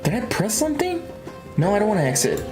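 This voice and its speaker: A woman's voice: mid-range voice, wildly swooping pitch, fast talker, music in the background. A woman livestreaming a game in casual, slangy, rambling chat with frequent exclamations. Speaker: livestream host, gamer.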